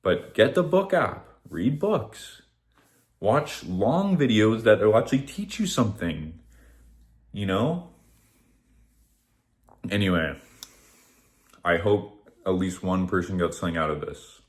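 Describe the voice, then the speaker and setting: A man's voice: fairly low pitch, animated, slow, long pauses. A man in his thirties giving a casual, rambling motivational talk to camera, swearing often and shrugging things off with "whatever.". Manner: rambling, profane, repeats "whatever". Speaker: vlogger.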